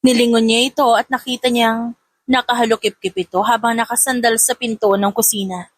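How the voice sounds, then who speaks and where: High-voiced woman narrating a romance. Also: level tone, a little fast, no echo. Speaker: audiobook narrator. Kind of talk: scripted romance story narration.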